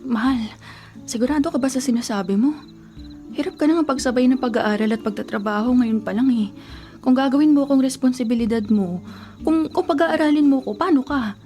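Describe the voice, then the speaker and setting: A woman playing a grieving young college student and devoted girlfriend in a Filipino radio drama, speaking softly and emotionally, at times in whispers. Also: high voice, brisk, soft background music, lightly acted. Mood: worried. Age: young adult. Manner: soft, emotional, breaking into whispers.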